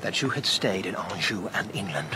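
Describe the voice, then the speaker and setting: An actor playing a blunt, loyal, world-weary knight in a historical radio drama, dry and plain-spoken. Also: male voice, sits low, animated, normal speed, noisy background. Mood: blunt, cautious.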